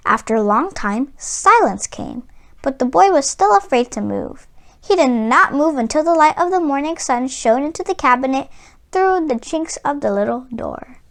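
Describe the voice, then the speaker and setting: Female storybook reader for children: high pitch, very animated melody, dramatic emphasis on key words. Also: normal speed, gravelly. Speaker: children's read-aloud host. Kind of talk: expressive storybook read-aloud for children.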